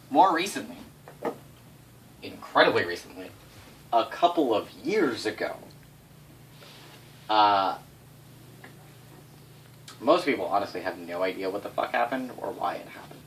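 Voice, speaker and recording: Animated, sweary man telling stories, distant mic. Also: medium pitch. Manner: sweary, animated, dramatic emphasis. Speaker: storyteller recounting game lore to players. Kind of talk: casual off-the-cuff history telling. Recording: distant mic, noisy room ambience.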